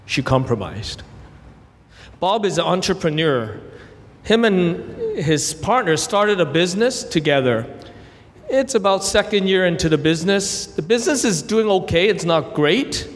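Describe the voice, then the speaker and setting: A young adult man raised in Canada, giving a sermon as guest preacher, earnest and gentle, telling stories with brief pauses. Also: high-pitched, very animated, in a large hall. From Hong Kong, raised in Canada. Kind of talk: sermon with prayer and illustrations.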